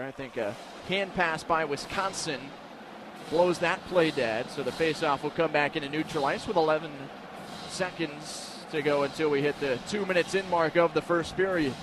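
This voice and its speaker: A man doing live radio play-by-play commentary of a hockey game, describing the action in crisp detail. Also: a little high, normal speed. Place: indoor ice hockey arena. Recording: noisy live arena broadcast. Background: loud arena noise.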